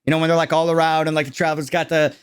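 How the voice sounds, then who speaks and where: A young adult streamer giving casual, slangy, excitable livestream reaction commentary on a game video. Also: medium pitch, rapid-fire, clear, resonant tone. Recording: loud music behind the voice. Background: loud game trailer music.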